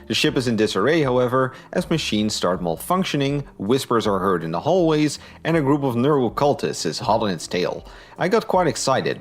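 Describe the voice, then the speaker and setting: Male reviewer, low pitch, very animated intonation, scripted narration, informal and opinionated. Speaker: book and audio drama reviewer.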